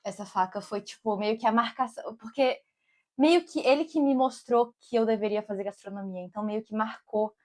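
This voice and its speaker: A woman's voice: on the high side, a little fast. Young Brazilian woman with a Brasília accent, a cook vlogging outdoors, loose and chatty delivery full of filler. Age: young adult. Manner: rambling and digressive, keeps saying "tipo" and "amigos". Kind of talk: casual chatty vlog. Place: outdoors in summer, with bees around.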